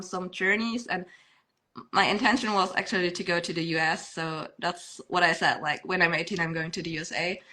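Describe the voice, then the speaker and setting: Bright-voiced young woman, chatty guest. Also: mid-range voice. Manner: chatty, laughs often, many fillers and restarts. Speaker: podcast guest. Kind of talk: casual podcast chat.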